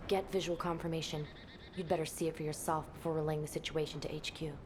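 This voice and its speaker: A woman's voice: deep voice, little pitch movement, fast, very noisy, natural voice. A woman playing a confident, blunt, teasing female military commander in a scripted video game scene. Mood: alert, commanding. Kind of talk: scripted game cutscene acting.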